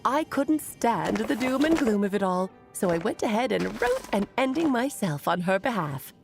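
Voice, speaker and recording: A woman's voice: medium pitch, sing-song, moderate pace, vocal fry. A woman voicing an elegant, theatrical mage who speaks grandly and with playful self-assurance. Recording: game audio with faint music.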